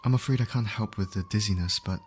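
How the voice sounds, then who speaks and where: A man's voice: lowish voice, fast talker, a little hiss or hum, natural voice. A man acting a caring field medic in an ASMR roleplay, speaking directly and reassuringly to an injured patient. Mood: soothing, concerned. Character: male field medic, calm, caring, urgent under pressure.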